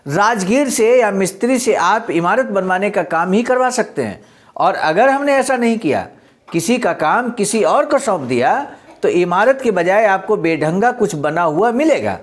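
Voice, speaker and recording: Presenter for read-aloud speech with commentary: mid-pitched voice, instructive, stresses points through repetition. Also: very animated, normal speed. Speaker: presenter reading and explaining a historical speech.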